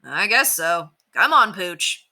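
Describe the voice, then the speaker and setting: A woman voicing an impatient, practical grown man in a children's storybook, brisk and matter-of-fact. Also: fairly low pitch, lively intonation, sharp tone, exaggerated voice. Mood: resigned.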